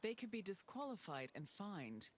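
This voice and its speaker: Lady doing history doc narration, calm and informative, swoops around a lot. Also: medium pitch, slight background noise. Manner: measured, informative. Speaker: narrator of a history game tour. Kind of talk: scripted documentary narration.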